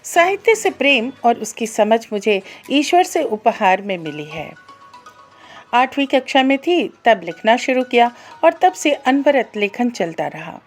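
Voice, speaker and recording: Animated higher-voiced woman, native Maithili speaker. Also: measured pace, faint music behind. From Bihar, India. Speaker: radio presenter. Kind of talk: scripted self-introduction to listeners.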